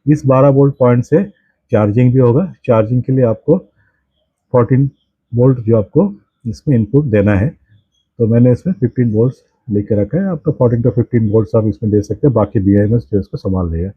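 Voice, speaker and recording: A man with an Indian accent giving an unscripted product demonstration, explaining in a halting way and often repeating or correcting himself. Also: medium pitch, breathy edge, dry recording, narrow, tinny audio. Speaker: presenter of a radio hobby channel.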